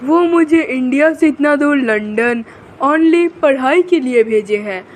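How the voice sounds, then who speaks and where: Man acting high-pitched, devoted young lover. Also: expressive, slow pace, exaggerated voice. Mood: earnest, affectionate. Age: young adult. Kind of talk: dubbed cartoon story dialogue.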